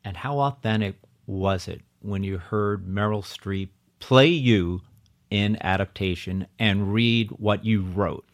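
A man conducting a casual interview in a wry, joking, deadpan manner. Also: lowish voice, slow pace.

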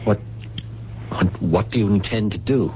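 A man's voice: fairly low pitch, measured pace, natural voice. An actor playing an evasive, guilty young man, a solicitous grown son, in a 1970s radio drama, in hesitant, clipped phrases. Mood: defensive. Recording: muffled radio broadcast with clear noise.

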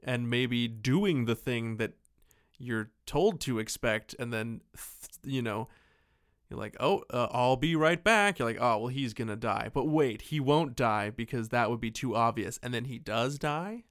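A man with a medium-pitched voice talks as a podcast co-host in a dry, close recording. His delivery is casual and conversational. He often hesitates as he hunts for the next word. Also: unhurried, a bit bright, dry recording. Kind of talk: casual movie chat.

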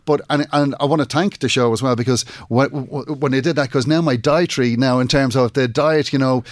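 A man, a radio interview guest talking in halting bursts full of restarts and fillers. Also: medium pitch, steady tone, no echo. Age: 40s. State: emotional, voice breaking.

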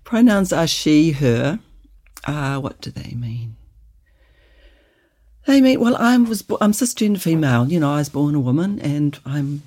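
A woman's voice: low-pitched, very animated, a little slow, dark tone. A New Zealand woman in her sixties, a guest on a podcast, answering thoughtfully and repeating questions as she thinks. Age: 60s. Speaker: podcast guest, sex coach. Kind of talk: casual interview chat.

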